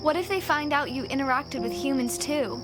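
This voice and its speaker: A woman's voice: sits high, moderate pace, music in the background, natural voice. A woman acting as a cautious, by-the-book alien engineer in an audio drama, with formal, anxiously precise speech. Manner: formal, precise, pedantic wording.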